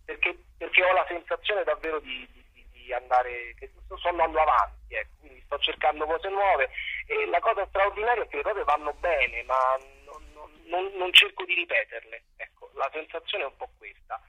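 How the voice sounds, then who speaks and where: A man's voice: fairly high pitch, very animated, moderate pace, gravelly, sharp tone, husky, muffled recording. A middle-aged man speaking conversationally as a guest theatre director, searching for his words and repeating himself. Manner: hesitant, reflective, repeats words while searching for phrasing. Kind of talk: conversational interview.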